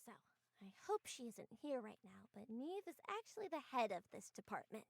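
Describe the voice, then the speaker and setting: A woman's voice: on the high side, big pitch swings, a little fast, gravelly, dry recording, full character voice. A woman playing a confident, teasing winged courier girl with a casual, playful manner, in a visual novel reading. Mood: sneaky, playful.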